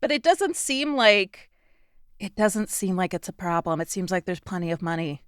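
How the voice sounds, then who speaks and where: A woman's voice: fairly low pitch, animated, moderate pace, clean tone, dry recording, studio quality. A woman co-hosting a casual panel discussion, talking in a blunt, informal way. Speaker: podcast co-host.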